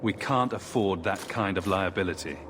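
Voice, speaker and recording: A pragmatic fantasy-game hero, played by a man, talks in a fairly low voice. He is terse, firm and skeptical, with very loud ambient noise around him. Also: moderate pace, close to an everyday voice. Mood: grim. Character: guarded, pragmatic young male fantasy adventurer. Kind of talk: dramatic fantasy game acting. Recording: very loud background ambience and rumble.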